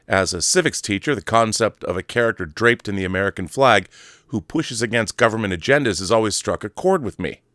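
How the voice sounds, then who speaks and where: Low-voiced man hosting a podcast. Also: a bit bright, no room sound, studio quality. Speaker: podcast host. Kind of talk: casual solo commentary and letter reading.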